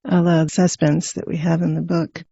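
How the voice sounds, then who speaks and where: A woman's voice: on the low side, little pitch movement, moderate pace, creaky, dark tone, slightly rough, muffled recording. A woman hosting an interview, reading a formal introduction and asking questions in a polished way. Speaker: interviewer host.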